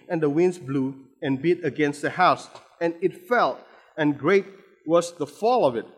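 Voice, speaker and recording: A man from Indonesia with an Indonesian accent, preaching a sermon with frequent restarts and repeated words, building to emphatic stress. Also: a little high. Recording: long hall reverb.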